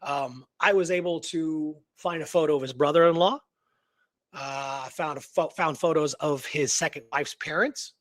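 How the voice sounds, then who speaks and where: A man's voice: a little high, normal speed, dry recording. A man co-hosting a history show in casual, unscripted chat, rambling and conversational with emphatic repetition. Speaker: co-host of an Old West history show.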